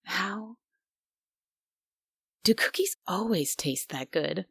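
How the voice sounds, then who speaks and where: A woman's voice: medium pitch, lively intonation, takes it slowly, creaky, slightly rough, dry recording, exaggerated voice. A woman playing a strict, stubborn guardian angel who is bossy, prim and grudgingly caring, in an immersive roleplay audio drama. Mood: shyly pleased. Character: strict, stubborn but secretly kind female guardian angel.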